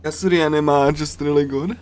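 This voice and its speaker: A man streaming a game, chatting casually and reading along in a rambling, halting way with repeated phrases. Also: on the high side, fairly even intonation, darkish tone. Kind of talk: casual livestream chat while reading and translating a game.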